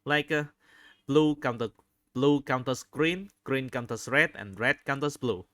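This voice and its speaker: A man giving unscripted video commentary, halting often as he searches for words and repeats phrases. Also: mid-range voice, a bit bright, dry recording. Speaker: video host reviewing game screenshots.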